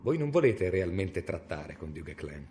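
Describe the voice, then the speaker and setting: Deep, stern inquisitor monk. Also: male voice, sing-song. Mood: cold, calculating. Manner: measured, formal, severe. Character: stern, cold, cunning medieval inquisitor monk. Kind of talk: Italian radio drama acting. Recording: noisy radio drama recording.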